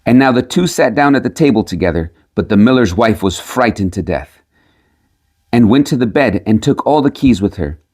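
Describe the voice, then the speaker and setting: A man's voice: on the low side, expressive, normal speed, darkish tone, slightly rough. A man reading a storybook tale aloud as scripted narration. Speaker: audiobook reader.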